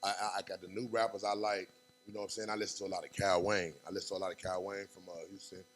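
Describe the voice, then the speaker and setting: A young man, a rapper talking as a guest on a podcast in fast, animated street talk full of fillers. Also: low-pitched, faint music behind. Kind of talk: casual podcast interview.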